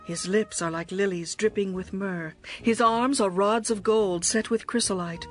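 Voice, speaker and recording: A woman playing a passionate, lovesick young bride in a dramatized scripture reading, with poetic, expressive delivery. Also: mid-range voice, unhurried, close to an everyday voice. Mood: adoring. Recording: music behind the voice.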